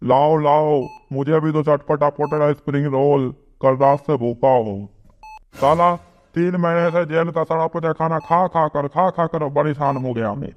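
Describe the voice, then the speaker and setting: A man's voice: on the high side, steady tone, measured pace, muffled recording, a little hiss or hum, close to an everyday voice. A man playing a short-tempered, scolding disgraced village headman in a comic Hindi cartoon. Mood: hungry, grumbling. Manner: scolding, exasperated, blustering.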